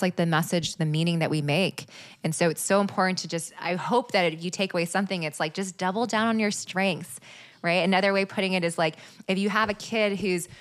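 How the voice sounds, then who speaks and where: A woman guest on a podcast, talking earnestly and fluently, peppering her speech with "like" and "right?". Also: on the low side. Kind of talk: casual podcast chat.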